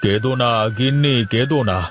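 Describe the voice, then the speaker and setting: A sorrowful father and household master acted by a man in a cartoon. He is earnest and dramatic, protective of his children, at a medium pitch. Faint music runs underneath. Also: a little fast, close to an everyday voice. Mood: consoling. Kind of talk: cartoon voice acting.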